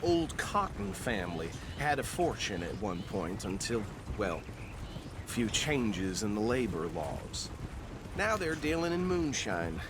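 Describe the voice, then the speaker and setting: An actor playing an earnest, self-important small-town deputy in a western game, folksy and talkative with a Southern accent. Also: male voice, lowish voice, very animated, measured pace. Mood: chatty. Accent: American South. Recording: clear outdoor noise, animals.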